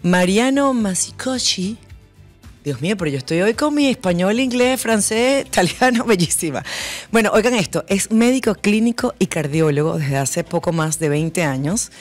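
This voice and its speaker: An animated woman in her forties hosts an upbeat chat show from a small studio mic, voice sitting at a middle pitch. Faint music plays softly behind her. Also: normal speed. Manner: animated, exclaiming, laughing often. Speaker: host. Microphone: studio mic on boom, wearing headphones.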